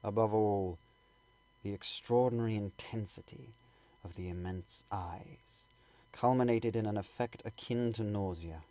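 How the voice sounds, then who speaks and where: A man reading a classic novel aloud as a dramatic audiobook, with theatrical, suspenseful and deliberate phrasing. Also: fairly low pitch, mellow. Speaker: audiobook reader.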